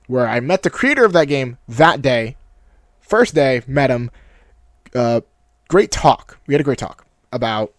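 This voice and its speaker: A young man with a deep, steady voice, looking back on a game in a casual vlog. He moves between nostalgia and self-mocking humor. The recording is close and dry, with no room sound. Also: no echo. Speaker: YouTube creator. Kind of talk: reflective personal vlog narration.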